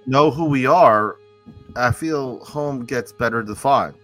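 A man hosting a casual conversation, speaking earnestly and haltingly with frequent restarts. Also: mid-range voice, a bit bright, faint music behind, low-quality recording. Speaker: podcast host.

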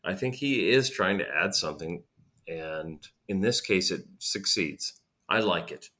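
A man's voice: deep voice, expressive, a little slow, bright, forceful tone. A man guest on a podcast, chatting casually, hesitating and repeating words as he thinks. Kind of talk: casual chat.

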